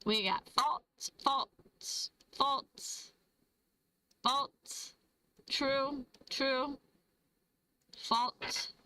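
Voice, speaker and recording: Bright, slow-talking woman host. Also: sits high, steady tone, rough recording. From Michigan, USA. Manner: chatty, laughs often, reads viewer comments aloud. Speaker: livestream host. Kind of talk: casual trivia livestream chat.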